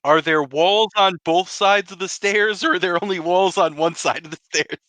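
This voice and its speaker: Male tabletop player, bright forceful tone, very dry recording, casual and practical. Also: high-pitched, normal speed, no echo. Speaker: player in a tabletop role-playing game. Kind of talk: casual improvised game chat.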